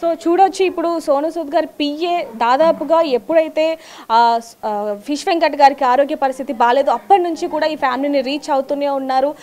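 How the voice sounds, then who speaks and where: A woman's voice: sits high, a little fast, a little mellow. A woman reporting live news from the field in a wordy, emphatic way.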